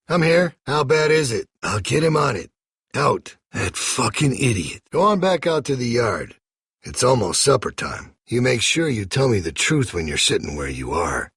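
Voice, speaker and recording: Gravelly, menacing American camp leader. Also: male voice, medium pitch, a bit bright, dry recording, studio quality, full character voice. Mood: curt, commanding, then cold menace. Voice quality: gravelly, raspy. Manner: folksy, slow-burning menace, preachy. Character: menacing male survivor-camp leader, calm, controlling, ruthless. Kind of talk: video game voice acting.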